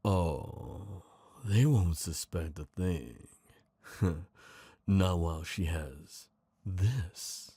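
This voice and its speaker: A man voicing a smooth, scheming traitor prince, gloating and seductive, in an intimate fantasy ASMR roleplay. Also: deep voice, mellow, no echo, heavily acted. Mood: smug, gloating. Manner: slow, intimate, theatrical villain delivery.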